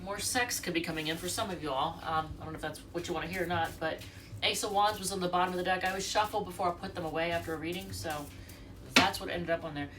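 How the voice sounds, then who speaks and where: A woman's voice: fairly low pitch, steady tone, fast talker, distant mic, loud music behind the voice. A congested woman from the United States doing a casual, chatty tarot reading and talking straight to her viewers. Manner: chatty, rambling, talks to viewers directly. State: congested from allergies. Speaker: tarot reader.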